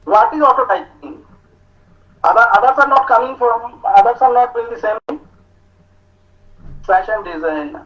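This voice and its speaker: A man with an Indian English accent giving an online talk to students, speaking slowly with many hesitations as he reads out their answers. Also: extremely high pitch, animated, fairly bright tone. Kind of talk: online lecture with student chat. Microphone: video call. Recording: muffled video call audio.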